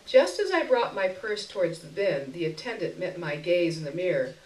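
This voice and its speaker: A woman giving an expressive live reading of a first-person short story, in a literary narration style. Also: lowish voice, normal speed. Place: indoor stage before an audience. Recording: roomy live stage recording, distant mic.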